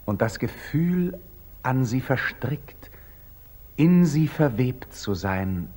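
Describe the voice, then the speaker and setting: An actor playing a passionate young Knight Templar in intense, introspective declamation, in the style of 1940s radio drama. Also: male voice, medium pitch, very animated, slow pace, a little mellow, slightly rough, heavily acted. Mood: fervent. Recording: aged 1940s radio recording.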